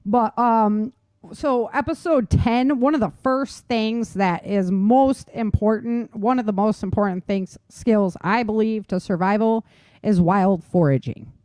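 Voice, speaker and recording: A middle-aged American woman hosts a casual podcast in a medium-pitched voice. She speaks straight to the listener with informal emphasis, like someone passing on practical advice. The sound is dry, with almost no room around it. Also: measured pace, darkish tone, no room sound. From United States. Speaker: podcast host. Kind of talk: casual instructional podcast talk.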